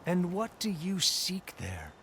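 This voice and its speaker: A grandiose cult leader in a video game, very self-assured. His voice is high and swoops theatrically, with slow, pompous delivery. There is faint ambience behind it. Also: huge pitch swings, pushed and bright, slight background noise, exaggerated voice. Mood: regal, composed. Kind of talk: video game cutscene acting.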